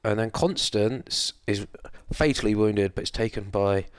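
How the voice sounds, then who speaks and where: A man's voice: fairly low pitch, a little slow, clear, resonant tone, no echo. A man co-hosting a film podcast, chatting casually with wry, sarcastic humour and some swearing. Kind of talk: casual chat, recapping a film plot.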